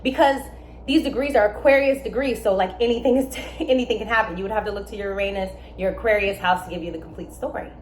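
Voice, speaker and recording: A young adult woman, born in Germany and raised in America, explaining astrology in a casual, emphatic way with lively asides. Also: medium pitch, fast talker, a little hiss or hum. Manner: animated, emphatic, with asides and laughs. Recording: echoey room with faint ambience.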